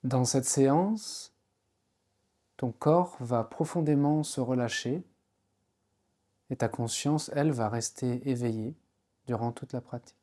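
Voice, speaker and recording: Male yoga nidra guide, medium pitch, soft warm timbre, calm instructional delivery with spacious pauses. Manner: slow, soothing, unhurried instruction with pauses. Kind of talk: guided relaxation meditation.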